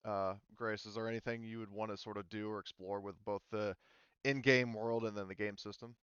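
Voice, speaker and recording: A man's voice: lowish voice, steady tone, brisk, fairly bright tone, smooth, dry recording, lo-fi sound. A man acting as game master, talking a group through questions casually in hesitant, stop-and-start phrases. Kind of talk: casual explanatory group discussion before a tabletop game. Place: online voice call.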